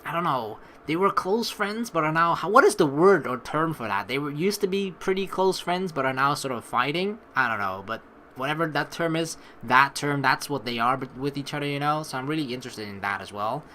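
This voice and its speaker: Bright, very animated let's play host. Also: mid-range voice, fairly quick, slight background noise. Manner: rambling, reactive, excitable. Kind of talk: game playthrough commentary with dramatic read-aloud. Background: game music.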